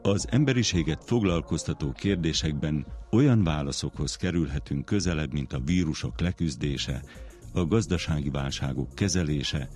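A man presenting a radio programme, reading a scripted introduction in a measured announcer's style. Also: rumbling low, darkish tone.

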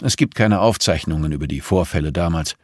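A grim, determined man bent on revenge in an audiobook thriller, played by a male narrator. He speaks gravely and matter-of-factly, in a close, dry sound. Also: low voice, animated, a little fast, dry recording. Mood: grave, regretful. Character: grimly determined vengeful man, plotting killings.

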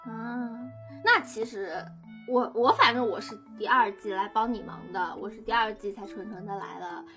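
A woman's voice: mid-range voice, moderate pace, fairly bright tone. A woman chatting casually in a blunt, wry, teasing way. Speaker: radio drama production crew member. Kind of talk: casual chat. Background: music.

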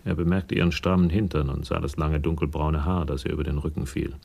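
An actor narrating a crime radio play as an observant storyteller, measured and matter-of-fact. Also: male voice, a bass voice, level tone, gravelly, noisy background. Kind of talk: scripted narration in radio drama.

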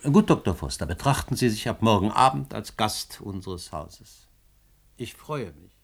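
An actor playing a courteous, hesitant middle-aged academic in a German radio drama. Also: male voice, fairly low pitch, animated, measured pace, gravelly, husky, full character voice. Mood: formal, pleased.

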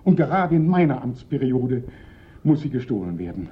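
A man's voice: medium pitch, big pitch swings, moderate pace, slight background noise, natural voice. A man playing a theatrical, whining police prefect. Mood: self-pitying lament. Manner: theatrical, indignant, lamenting. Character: pompous, self-pitying police prefect, wounded and whining. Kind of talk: German radio drama acting. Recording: dull, lo-fi old radio recording.

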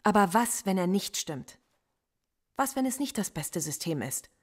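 A philosophy professor under interrogation in a radio drama. She speaks at a middle pitch, articulate and argumentative. She stays defiant and answers with dry irony. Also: very animated, unhurried, husky. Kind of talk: German radio play acting.

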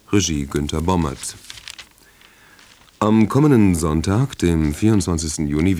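Deep voiced guy doing formal radio announcements, measured scripted read. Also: dark tone. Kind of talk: scripted broadcast announcement, credits and preview.

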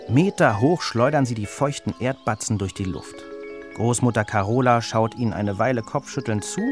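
A man reading a children's story as its narrator, with an expressive storytelling delivery. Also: medium pitch, moderate pace, faint music behind.

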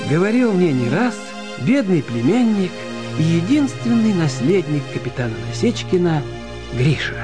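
Expressive man narrating, lively pitch. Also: normal speed, over music. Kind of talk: expressive scripted story narration.

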